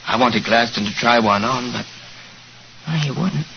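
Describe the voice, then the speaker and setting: A man's voice: medium pitch, moderate pace, creaky, close to an everyday voice. Dreamy, hesitant, childlike man played by an actor. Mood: dreamy, fond. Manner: hesitant, dreamy, stammering wonder. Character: sleepy, childlike, gentle simple man. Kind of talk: 1940s radio acting. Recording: muffled, hissy old radio recording.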